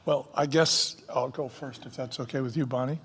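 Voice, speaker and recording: An older man, a company co-founder, answering interview questions in a folksy, animated way and acting out quotes. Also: mid-range voice, normal speed, a little hiss or hum. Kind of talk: fireside chat interview answers. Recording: long hall reverb.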